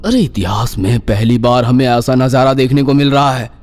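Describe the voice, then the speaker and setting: A man playing an excited, commanding senior doctor in a dramatised horror story, with an emphatic delivery. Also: medium pitch, normal speed, lightly acted. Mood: amazed, irritated. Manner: emphatic, amazed, mixes English into Hindi.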